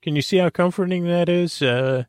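A man hosting a bedtime podcast, rambling in a halting, meandering way with a creaky, mumbly voice and self-deprecating asides. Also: high voice, dry recording, studio quality. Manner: meandering, halting, stumbling over words. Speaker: podcast host and storyteller. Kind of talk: rambling bedtime monologue to help listeners sleep.

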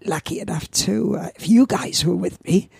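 A man in his seventies with a Welsh accent and a croaky old voice, chatting as a podcast guest and telling lively stories that repeat words for effect. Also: high voice, moderate pace, no room sound, clean studio sound. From Wales. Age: 70s. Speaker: guest, veteran surfer. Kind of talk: casual anecdotal chat.

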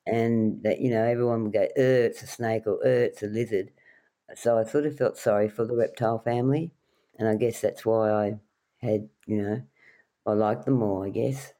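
A woman's voice: rumbling low, fairly even intonation, normal speed, dark tone. A middle-aged woman from rural New South Wales with an Australian accent, telling casual anecdotes as an interview guest. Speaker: podcast guest, wildlife carer.

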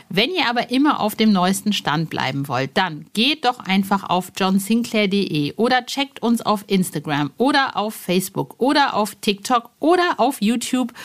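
A woman hosting a fan podcast in an informal, playful way, speaking directly to her listeners. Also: mid-range voice. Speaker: podcast host. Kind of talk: chatty fan podcast presenting.

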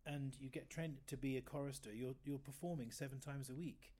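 Man, late fifties, fairly high voice, chatty storyteller for a casual interview. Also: steady tone, brisk, mellow. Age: 50s. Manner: chatty, rambling storyteller with self-corrections. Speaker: guest oboist.

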